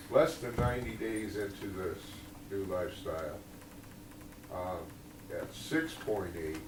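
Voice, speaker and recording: Brief: man, lowish voice, earnest and halting church testimony, recorded from a distance with room noise. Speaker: congregant giving testimony. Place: small church hall. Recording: echoey room, distant mic, noisy.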